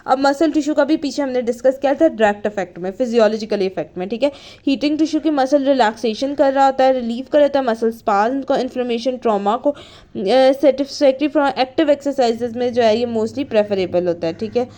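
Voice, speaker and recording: A woman with a high voice delivers a study lesson. She reads from her notes, then restates each point in simpler words. She mixes in English technical terms and keeps checking that the listener follows. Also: fairly quick, mellow. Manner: code-switches between Hindi and English terms; repeats and rephrases points, checking with "okay". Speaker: teacher of physiotherapy students. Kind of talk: explanatory lecture, reading out notes then explaining.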